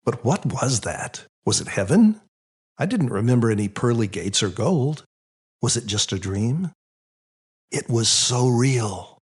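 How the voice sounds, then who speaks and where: A man narrates a first-person audiobook in an expressive, reflective way. His voice is husky and mid-pitched, with lively movement up and down as he tells the story. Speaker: author reading his own story.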